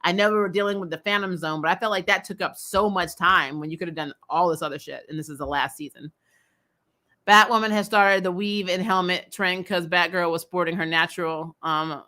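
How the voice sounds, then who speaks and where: An American woman hosting a casual recap show, chatting in a blunt, animated, opinionated way with informal language. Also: lowish voice. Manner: animated, frequently laughing, blunt and informal with mild swearing. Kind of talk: casual opinionated fan chat, reading and answering comments.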